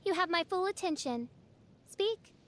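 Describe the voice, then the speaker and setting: Casting: a grandiose young game princess, extremely high pitch, pompous and melodramatic delivery. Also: female voice, animated, a little slow, fairly bright tone, exaggerated voice. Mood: haughty, theatrical. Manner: pompous, archaic, melodramatic diction. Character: theatrical young self-styled princess, haughty and grandiose. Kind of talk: anime game character voice lines.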